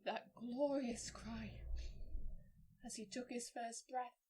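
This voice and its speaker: An actress playing a grieving new mother in an audio drama monologue, halting and emotionally charged as she recounts her labour. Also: a little high, fairly even intonation, warm tone. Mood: hushed awe. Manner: halting, breathy, emotionally charged delivery in short phrases.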